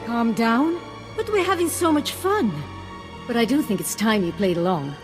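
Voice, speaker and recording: A woman's voice: on the high side, expressive, normal speed, music in the background. A woman voicing a possessed female Jedi master who taunts with cruel, singsong glee in a video game. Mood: taunting.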